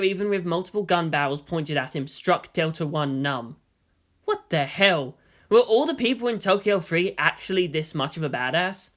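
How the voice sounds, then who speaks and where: An animated audiobook narrator with a bright, forceful tone and a middling pitch. The reading is scripted and dramatic, rising and falling widely as the story is told.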